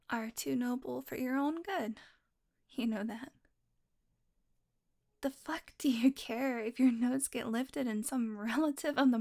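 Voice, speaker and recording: A woman playing a sardonic, flirtatious female vampire in an intimate audio roleplay, with a sarcastic, playful, casually profane way of talking. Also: high voice. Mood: wry, wounded, then bitter, exasperated.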